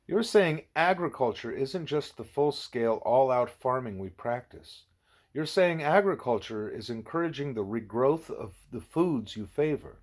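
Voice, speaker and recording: A man's voice: mid-range voice, animated, measured pace. A man reading the part of an earnest, inquisitive young girl pupil in an audiobook, questioning to check understanding. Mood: earnest, probing.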